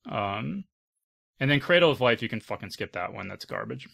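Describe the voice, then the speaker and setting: A man's voice: on the low side, animated, moderate pace, creaky, bright, forceful tone, slightly rough. A man hosting a podcast, talking frankly and conversationally in a casual film discussion. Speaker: podcast host. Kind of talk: casual panel discussion of films.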